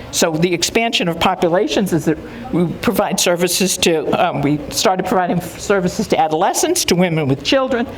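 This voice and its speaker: A woman's voice: lowish voice, slight background noise, poor audio. Older woman reminiscing at a conference. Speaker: conference speaker. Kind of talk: conference talk. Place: conference hall.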